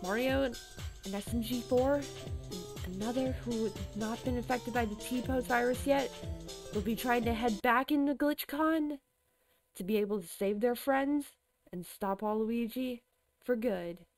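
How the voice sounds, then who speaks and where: Chatty high-voiced woman reacting to a video. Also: measured pace. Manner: excitable, loud gasps and cries of alarm; chatty, often repeats "I gotta say". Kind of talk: casual reaction commentary. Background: music from the clip she is watching.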